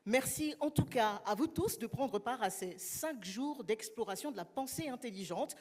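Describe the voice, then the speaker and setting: A woman speaking as master of ceremonies at a formal opening. Her voice sits a little high, and it rings with the long reverb of a large hall. She works through the official greetings in a measured, formal way. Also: expressive, a little mellow.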